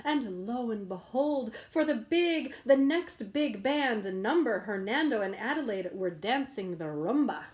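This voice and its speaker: A woman's voice: fairly high pitch, lively intonation, a little slow, hardly any pauses. A woman reading a rhyming children's picture book aloud in a playful, sing-song storytelling manner.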